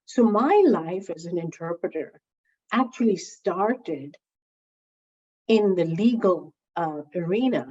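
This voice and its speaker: Low-voiced, mellow woman storyteller. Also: slow, husky. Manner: animated storyteller, repeats words while gathering thoughts. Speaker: interviewee, founding member recalling early days. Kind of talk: reflective storytelling interview. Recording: slightly dull lo-fi sound.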